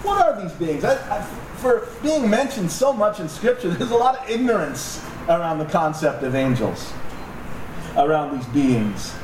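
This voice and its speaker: A man's voice: fairly high pitch, expressive, moderate pace. A man preaching a sermon to a congregation in a conversational, joking way with asides to the audience. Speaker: pastor. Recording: echoey room, distant mic, noisy.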